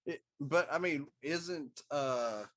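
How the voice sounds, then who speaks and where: A man's voice: on the high side, takes it slowly, a bit bright. A middle-aged man talking casually as a talk show co-host, meandering through his opinions. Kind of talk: casual chat.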